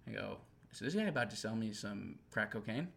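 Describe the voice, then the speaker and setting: Lively male podcast co-host. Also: on the low side, fairly quick, vocal fry. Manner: animated storyteller, acts out quotes. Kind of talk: casual chat.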